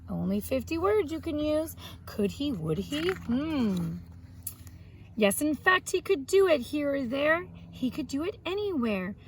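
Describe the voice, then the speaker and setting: A woman's voice: sits high, big pitch swings, measured pace, slight background noise. A woman speaking as an elementary teacher to young children, reading aloud and explaining things simply, step by step. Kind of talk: read-aloud and children's art lesson.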